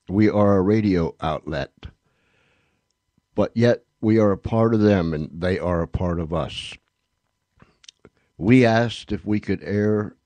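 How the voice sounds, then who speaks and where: A male radio host with a very low voice speaks slowly and deliberately. He delivers sign-off announcements in short phrases set apart by pauses. The sound is close and dry. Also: dark tone, dry recording.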